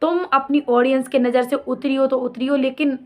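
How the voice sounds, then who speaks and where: A woman's voice: sits high, fairly even intonation, moderate pace, darkish tone. A woman vlogger giving an unscripted, opinionated rant, indignant and scolding, pressing her points with rhetorical questions and repetition. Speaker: vlogger commenting on a personal drama. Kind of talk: unscripted opinionated rant addressed to absent people.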